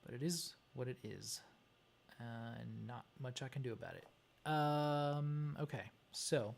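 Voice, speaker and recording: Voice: mid-range voice, measured pace, creaky, a little mellow. A livestream host chatting casually and muttering hesitantly while thinking aloud through a task. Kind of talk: casual chat while working on a wiring diagram.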